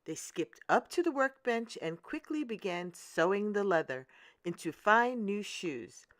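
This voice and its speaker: A woman reads a children's fairy tale aloud at a slow, deliberate pace. Her mid-range voice rises and falls animatedly as she narrates. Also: mid-range voice, no room sound. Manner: deliberate, expressive. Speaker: storyteller, children's read-aloud channel host. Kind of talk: scripted storybook narration for children.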